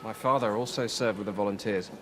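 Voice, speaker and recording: An actor playing a sceptical, argumentative musician in a period drama, pointed and contrary. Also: male voice, lowish voice, fast talker, natural voice. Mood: grave. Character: sceptical, argumentative male musician opposing Napoleon. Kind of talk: period television drama acting. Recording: noisy background.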